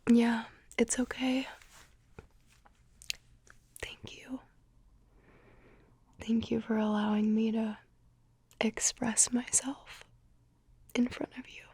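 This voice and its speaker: Soft-whispering woman, gently tearful. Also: a little high, little pitch movement, slow, long pauses, husky, dry recording. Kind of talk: ASMR roleplay.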